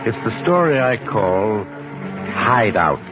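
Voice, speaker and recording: A man hosting and narrating a 1950s radio mystery in dramatic, deliberate storyteller phrasing. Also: fairly low pitch, very animated. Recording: muffled old radio recording with loud music.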